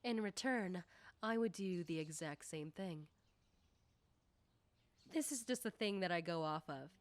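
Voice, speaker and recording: A woman playing a confident, nosy popular high school girl in an ASMR roleplay, talking coyly and teasingly to the listener. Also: lively intonation, measured pace, no room sound. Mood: indignant, self-assured.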